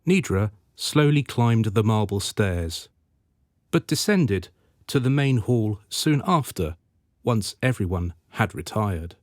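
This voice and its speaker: A man's voice: on the low side, animated, slow pace, dry recording, clean studio sound. A man reading scripted narration for a radio drama.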